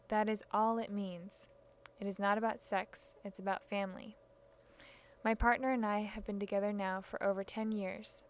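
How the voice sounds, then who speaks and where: A woman reading a written personal statement aloud. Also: medium pitch. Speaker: reader of personal testimony.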